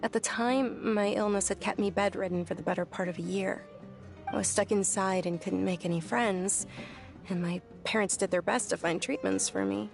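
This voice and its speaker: A woman voicing a gentle, earnest young woman who speaks in a soft, reflective way in a video game. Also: mid-range voice, gravelly, natural voice. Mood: wistful. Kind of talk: game voice acting.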